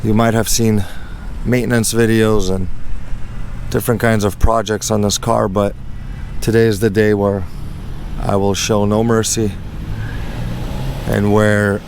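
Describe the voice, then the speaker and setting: Low, even, slightly raspy male vlogger. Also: a little slow, pauses often, slight background noise. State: under the weather. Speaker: host of a car channel. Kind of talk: casual car walk-around vlog.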